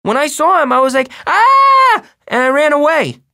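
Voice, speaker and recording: A narrator voicing an excitable, whiny second-grade boy in an audiobook. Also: high voice, a little slow, voice pushed up, full character voice. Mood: excited, wide-eyed. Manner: whining, exclaiming, childish. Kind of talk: audiobook character voice.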